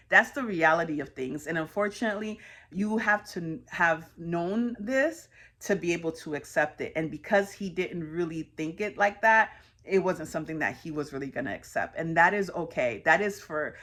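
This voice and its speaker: A woman's voice: fairly low pitch, fairly bright tone. Earnest, reflective woman in her thirties. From United States. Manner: earnest, conversational, with frequent fillers. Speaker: host sharing personal reflections. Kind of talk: reflective personal monologue.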